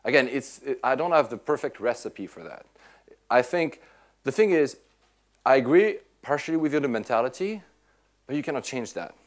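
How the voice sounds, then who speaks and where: A Swiss-born man answering audience questions in casual, animated talk, often restarting his sentences. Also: mid-range voice, moderate pace. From Switzerland. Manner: animated, many restarts and repeats. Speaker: tech speaker answering questions. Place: lecture room at an institute.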